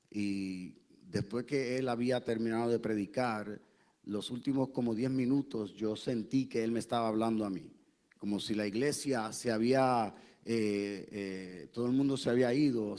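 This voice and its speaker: Man, pastor, low mellow voice, halting earnest testimony, church. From Puerto Rico. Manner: earnest, halting storytelling with many fillers. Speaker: pastor, guest and interpreter. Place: church sanctuary.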